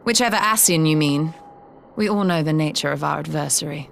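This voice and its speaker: A woman's voice: sits low, a little fast, creaky, slight background noise. A woman playing a proud, serious young noblewoman with a formal, pointed manner in a video game. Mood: grim, pointed. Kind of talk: video game cutscene voice acting.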